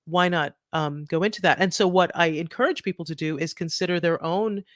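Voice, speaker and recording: Middle-aged woman guest, animated and chatty, dry close mic. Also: on the low side, a little fast, no room sound. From Trinidad. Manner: animated, many restarts and "right?" tags. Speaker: author guest. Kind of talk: conversational interview.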